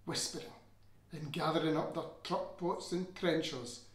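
A middle-aged Scottish man giving an expressive, old-fashioned storytelling reading of literary prose. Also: on the high side, moderate pace. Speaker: reader of a novel excerpt. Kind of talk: expressive literary reading in Scots-flavoured English. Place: small furnished room indoors. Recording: roomy indoor echo.

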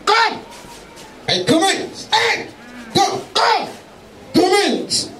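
A man's voice: very high voice, a little hiss or hum. A man interpreting a preacher's words into English line by line during a church service. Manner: quick echoing translation, lively. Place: church hall. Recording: echoey hall, far from the camera.

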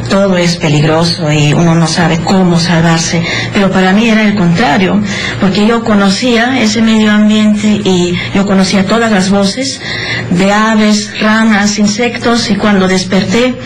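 A woman from Peru, a biologist, speaking as a guest in a radio studio interview at a desk microphone, calm and measured with slightly halting phrasing. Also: medium pitch, a little hiss or hum, poor audio.

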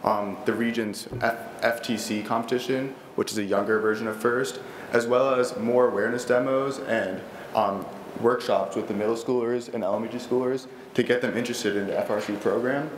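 A man's voice: mid-range voice, fairly even intonation, fairly quick. A teenage boy presenting his robotics team to a school board at a lectern, eager and earnest, with frequent fillers and restarts. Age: teens. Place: large school library meeting room, indoors. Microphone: standing at a lectern microphone. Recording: echoey room with faint background noise.

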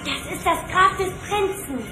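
Radio play: a daring, teasing young girl; high, reverberant sound. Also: measured pace. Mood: eager. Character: bold curious young girl explorer. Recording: echoey reverberant room, from far off, music behind.

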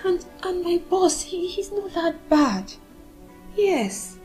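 A woman's voice: very high voice, very animated, slow pace, a little mellow, soft background music, heavily acted. An actress playing a loyal, outspoken, protective female friend with a Nigerian accent in a film drama. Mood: exasperated.

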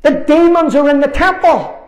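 A man in his sixties preaching a fervent sermon, emphatic and repetitive, with deliberate pauses, on a lapel mic in a small church meeting room. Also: very high-pitched. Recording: roomy, echoing meeting room.

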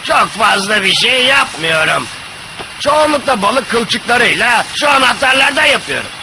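A miserable imitation crab with a very high, whining cartoon voice. He is touchy and sorry for himself, complaining with melodrama. The soundtrack is clearly noisy. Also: very animated, normal speed, exaggerated voice. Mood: sulky, defensive. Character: whiny, touchy male imitation crab, locked away and self-pitying. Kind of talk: animated cartoon dub.

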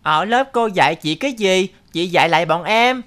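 A woman's voice: fairly low pitch, lively intonation, normal speed, fairly bright tone. A woman voicing a curious, eager little brother in a children's cartoon, full of questions. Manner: eager, inquisitive. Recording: music under the dialogue.

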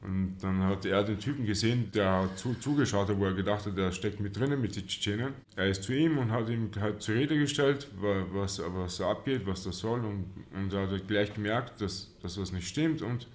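A young man telling a story as an interview guest, in colloquial and somewhat halting speech. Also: lowish voice. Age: young adult. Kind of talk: casual storytelling. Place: small indoor studio lounge. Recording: slightly echoey room.

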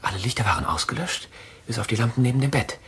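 An actor playing a sceptical, bitterly accusing lover in a German thriller radio play, with a breathy, hoarse voice and an urgent, sharp delivery. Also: male voice, mid-range voice, lively intonation, fast talker. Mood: anxious reasoning.

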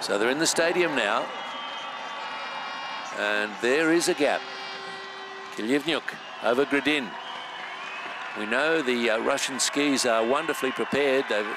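A man giving live sports commentary in a measured, steady play-by-play manner with filler pauses. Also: mid-range voice, very noisy.

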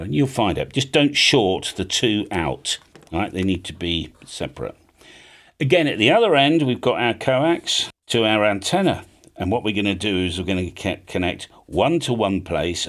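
A middle-aged man with a low, deep voice presents an informal tutorial. He explains things step by step in a conversational manner, often adding little tag questions to check the listener follows. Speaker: amateur radio video host. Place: small home studio with drums and guitars.

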